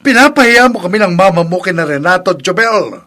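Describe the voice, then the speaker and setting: A man's voice: sits high, expressive, brisk, bright, forceful tone. A man acting a stern father scolding his son in a family drama, with a Filipino accent. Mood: angry, threatening. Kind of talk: acted family drama dialogue.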